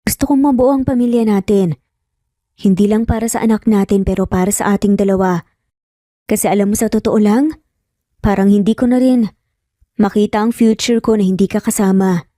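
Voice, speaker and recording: A woman voicing an earnest, teasing young male engineer in an audio romance story, speaking gently and sincerely with bits of English mixed in. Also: mid-range voice, steady tone, moderate pace, pauses often, dry recording, clean studio sound, close to an everyday voice. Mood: tender, sincere.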